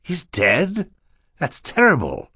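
A man reading an audiobook as a fussy, stern, easily flustered gentleman who admonishes pompously. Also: medium pitch, wildly swooping pitch, moderate pace, slightly rough, no room sound, studio quality, pitched above the performer's own voice, exaggerated voice. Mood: shocked. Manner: admonishing, pompous, punctuated by coughing. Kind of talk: audiobook character acting.

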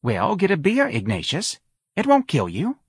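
A man voicing a fretful, nagging older working-class mother with husky, scolding, colloquial speech in an audiobook. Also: high voice, huge pitch swings, normal speed, no echo, voice pushed up, exaggerated voice. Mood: exasperated. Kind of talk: audiobook character voice.

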